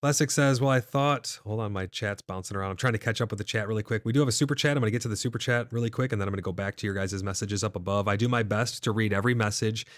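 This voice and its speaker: A man's voice: fairly low pitch, very fast, hardly any pauses, no echo, clean studio sound. Stuffy-nosed man hosting a live stream. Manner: casual, reads chat messages aloud and replies. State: stuffy, nasal from smoke. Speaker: livestream host. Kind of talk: live stream chat reading.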